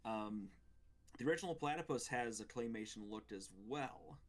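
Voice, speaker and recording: A man speaking as a co-host in a casual review chat, giving measured, hedged opinions. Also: medium pitch. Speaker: gaming show co-host.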